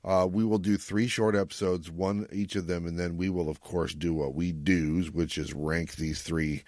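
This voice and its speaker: A man's voice: low-pitched, fairly even intonation, moderate pace, a little mellow, dry recording, clean studio sound. A middle-aged man co-hosting a podcast, chatting casually as he recaps an episode. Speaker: podcast co-host.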